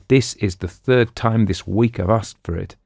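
Stern snappy hotel manager, gravelly, a guy reading doing him. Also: fairly low pitch, measured pace, darkish tone, no room sound, studio quality, voice pushed up. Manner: severe, snappish.

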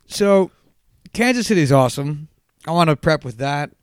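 A man co-hosting a casual podcast, hoarse-voiced, telling stories animatedly and swearing freely. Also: fairly high pitch, animated, moderate pace, no room sound, studio quality. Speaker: podcast co-host. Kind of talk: casual chat.

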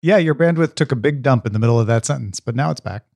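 A man's voice: medium pitch, animated, fast talker, vocal fry, a little mellow, no room sound. A man co-hosting a podcast in casual, playful, bantering chat. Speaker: podcast co-host.

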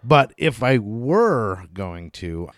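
A man with a low-pitched voice hosts a music podcast in a loose, informal style. He is middle-aged and rambles with enthusiasm, swearing now and then. The sound is dry, with little room echo. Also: big pitch swings, very slow. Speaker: podcast host. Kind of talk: casual rambling music chat.

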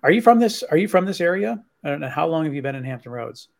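A man's voice: a little high, big pitch swings, fast, gravelly, darkish tone. A man co-hosting a podcast, asking questions casually with many "like"s and "you know"s. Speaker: podcast co-host.

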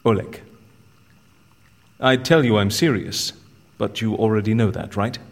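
An actor playing a cheeky, scheming con artist in an audio drama, with a wry, persuasive way of talking. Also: male voice, on the low side, a little slow. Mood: coolly threatening.

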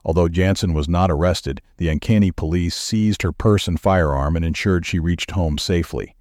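A man reading news stories as a podcast producer, with a measured, even newsreader delivery. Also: low-pitched, dry recording, clean studio sound.

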